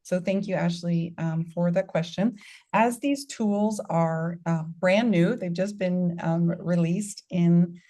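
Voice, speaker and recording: A woman's voice: fairly low pitch, steady tone, slow pace, dark tone. A woman co-hosting a webinar, politely explaining answers to attendees' questions. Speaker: webinar co-host. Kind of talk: webinar Q&A response.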